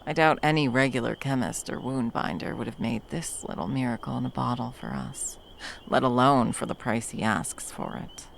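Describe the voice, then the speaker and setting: A woman playing a battle-worn monster hunter, gruff but tenderly protective, in an intimate ASMR roleplay with soft teasing and drops into whispers. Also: very deep voice, normal speed, slight background noise. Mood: caring, relaxed.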